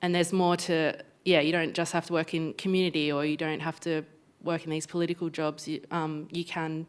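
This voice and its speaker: A woman's voice: low-pitched, little pitch movement, fairly quick, clear, resonant tone. A woman answering on a panel, hesitant with many fillers. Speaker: guest business owner. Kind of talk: panel discussion.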